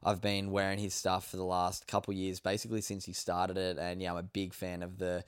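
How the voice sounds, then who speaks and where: A man's voice: deep voice, monotone, fairly quick, clear, resonant tone. Upbeat Australian man hosting a podcast. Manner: upbeat and chatty, with slangy asides. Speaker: podcast host. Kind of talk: casual podcast chat. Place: home bedroom studio.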